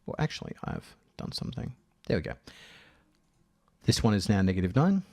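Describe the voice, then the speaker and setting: Low, creaky man thinking aloud. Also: big pitch swings, fairly quick, dark tone. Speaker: audio editing tutorial presenter. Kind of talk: casual software tutorial narration.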